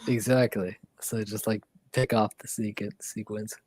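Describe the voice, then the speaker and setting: A man's voice: on the low side, fairly even intonation, moderate pace, darkish tone, breathy edge, dry recording. A man hosting a livestream, chatting casually and rambling with lots of fillers, sometimes dropping to a near whisper. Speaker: livestream host. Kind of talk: casual chat.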